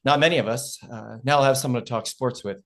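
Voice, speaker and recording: An actor as an overfriendly man who teaches school. His pitch is average for a man. He talks in a chummy, eager way, as in a comedy table read. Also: quick pace, lightly acted. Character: overfriendly, try-hard male teacher.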